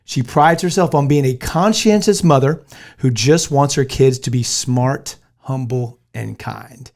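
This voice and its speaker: This is an American man hosting a podcast interview. He talks in a friendly, easygoing way and often jokes. His voice sits at an ordinary middle pitch. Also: a little slow, gravelly, a little mellow. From United States. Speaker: podcast host. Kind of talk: casual interview chat.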